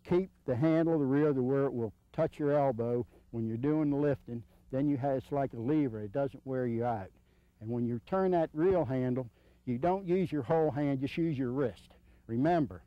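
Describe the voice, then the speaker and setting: The sound is dull and lo-fi, like an old video, with very little echo. A middle-aged man with a medium-pitched voice gives practical, hands-on instruction. He is plainspoken and folksy, often repeating a step. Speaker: surf fishing instructor. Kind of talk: informal hands-on instructional demonstration. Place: outdoors on a sandy beach.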